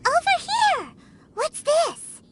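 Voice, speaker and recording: A woman voicing a small, curious, childlike fairy companion in a video game, with a very high, squeaky voice. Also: sing-song, slow, exaggerated voice. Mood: excited. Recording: music behind the voice.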